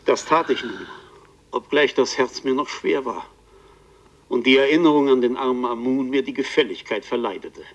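A man's voice: medium pitch, moderate pace, muffled recording, lightly acted. An actor playing a rueful bookseller who tells his own story as a radio play narrator, wry and earnest. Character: rueful male bookseller recounting his own strange adventure.

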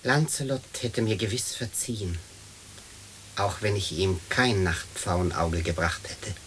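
An actor playing a wistful, devoted dead father who speaks in a measured way in a radio play. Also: low voice, a bit bright, a little hiss or hum. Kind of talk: German radio play acting.

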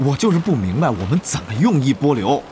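A man's voice: mid-range voice, fast, slight background noise, natural voice. A man playing a practical young guild leader in a gaming audio drama, earnest and easily exasperated. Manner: earnest, flustered, expressive. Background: faint music.

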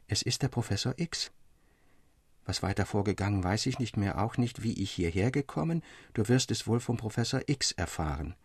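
An actor playing a storyteller among literary friends, speaking in a measured, formal and expressive way. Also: male voice, lowish voice, dry recording, clean studio sound. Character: male storyteller Theodor, literary friend reading his tales, defending fragments. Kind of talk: literary audio drama narration.

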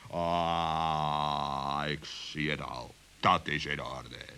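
A very deep, slow and forceful polar bear, working as a customs officer in a children's radio play. He is gruff, stern and blunt. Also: little pitch movement, exaggerated voice. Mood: gruff, officious.

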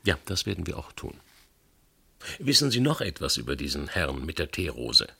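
An actor playing a dry, impatient police inspector who questions methodically, in a radio crime drama. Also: male voice, extremely low pitch, very animated, moderate pace, vocal fry, bright, forceful tone, husky, full character voice. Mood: methodical, probing.

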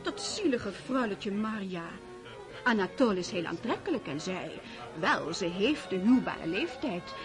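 An actress in a radio play as a gushing, mannered aristocratic hostess who gossips and makes matches. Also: female voice, medium pitch, big pitch swings, a little slow, music in the background. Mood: social gushing. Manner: effusive, mannered, sprinkles French endearments.

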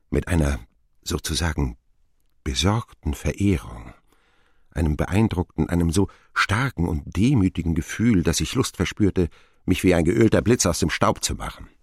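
An actor playing a grown man who wryly recalls his boyhood, narrating a radio drama in an expressive, sardonic manner. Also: deep voice, moderate pace, husky, no echo, studio quality, full character voice. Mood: brooding, wry.

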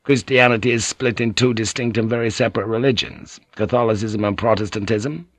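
Wary ship pilot, read by a male narrator, careful and deferential, lowish voice, dry sound. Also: fairly even intonation, fairly quick, dry recording, natural voice.

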